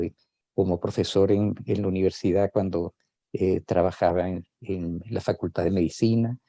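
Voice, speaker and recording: A man's voice: sits low, dark tone, no echo. An older man, a psychoanalyst, reflecting on his own life in a hesitant way, with many fillers and restarts. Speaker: psychoanalyst sharing personal experience. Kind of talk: reflective spoken testimony. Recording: dull lo-fi sound.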